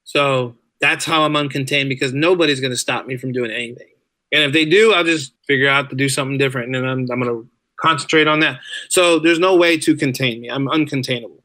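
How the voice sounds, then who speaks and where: A man's voice: medium pitch, fast, pushed and bright, dry recording. A man speaking as a podcast guest in casual conversation, often repeating words for emphasis. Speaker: podcast guest, writer and audio drama creator. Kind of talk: casual interview chat.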